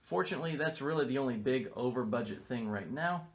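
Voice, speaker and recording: Male vlogger, friendly and explanatory, mid pitch, walking through a rental makeover. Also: lively intonation, fast. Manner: upbeat, explanatory, matter-of-fact. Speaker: real estate investor vlogger. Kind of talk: casual video walkthrough. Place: renovation site, indoors and outdoors. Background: construction noise.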